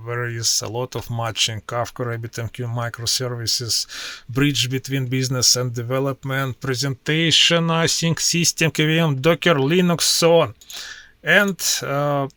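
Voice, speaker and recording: A man with a Russian accent, a native Russian speaker, walking through his software workflow in a screen-share tutorial in slow, halting English with many pauses. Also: mid-range voice, steady tone, clear, resonant tone, no room sound. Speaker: IT professional demoing his workflow.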